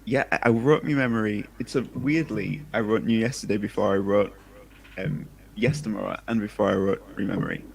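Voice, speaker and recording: A man with a low voice and plenty of creak in it. He talks casually and informally, rambling along in a self-deprecating way, as an author in a relaxed chat. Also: brisk, low-quality recording. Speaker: debut sci-fi author, livestream guest.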